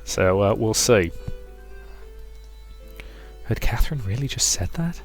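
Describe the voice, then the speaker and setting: A man's voice: lowish voice, animated, slow. A man hosting a let's play, reading the game's story aloud and commenting on it casually. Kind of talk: casual game commentary and dramatic read-aloud narration. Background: music.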